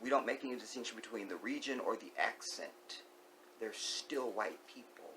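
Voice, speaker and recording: A man vlogging an opinionated monologue. He repeats key phrases, asks the viewer rhetorical questions and sometimes sinks to a hushed whisper. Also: lowish voice, moderate pace, a little hiss or hum, low-quality recording.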